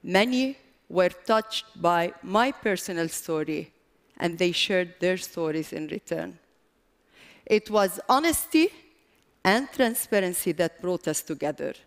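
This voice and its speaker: A middle-aged woman with a Lebanese accent delivers a prepared speech on stage in a large hall. She speaks slowly and deliberately, setting short phrases apart with pauses for emphasis. Long reverberation trails her voice. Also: fairly low pitch, expressive. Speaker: public speaker, marathon organizer.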